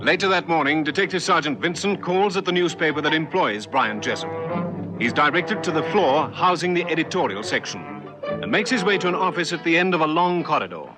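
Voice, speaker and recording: A man's voice: a little high, expressive, brisk, over music, lightly acted. A man reading scripted narration for an old radio police drama.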